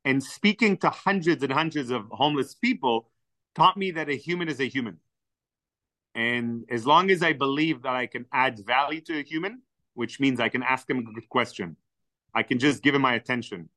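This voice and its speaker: Man, native Hebrew speaker, animated storyteller, forceful bright tone, dry close sound. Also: medium pitch, normal speed, no echo. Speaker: podcast guest. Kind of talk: storytelling in conversation.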